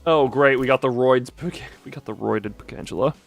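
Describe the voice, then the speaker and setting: A man's voice: medium pitch, normal speed, soft background music. A man livestreaming a game, chatting casually and jokingly with his chat in a rambling way.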